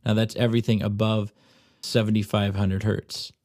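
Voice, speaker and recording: A man presenting an audio software tutorial, narrating instructional steps as he demonstrates on screen. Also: on the low side, flat delivery, moderate pace, dry recording, clean studio sound.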